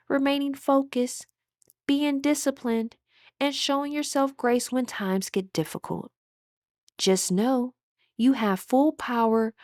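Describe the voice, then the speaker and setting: A woman with a notably high voice guides a meditation. She speaks in a measured, instructive way, drawing out her phrases with audible breaths. The sound is very dry, with no room around it. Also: dry recording.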